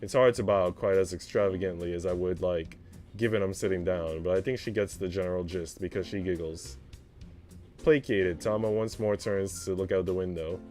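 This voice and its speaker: A man's voice: deep voice, steady tone, moderate pace, warm tone, faint music behind. A man narrating a visual novel aloud as a streamer, moving between scripted prose and casual reaction.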